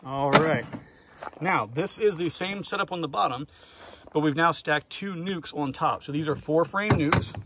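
A man in a casual outdoor how-to walkthrough, showing his hive gear in an apiary yard, relaxed and practical, with lots of "uh" and "right?" fillers. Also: on the high side, a little slow, very noisy.

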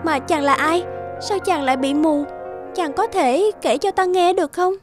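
A gentle young princess from a cartoon folk tale. The voice is high and softly inquisitive, with faint music underneath. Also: female voice, normal speed. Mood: curious, gentle. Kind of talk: animated fairy tale dubbing.

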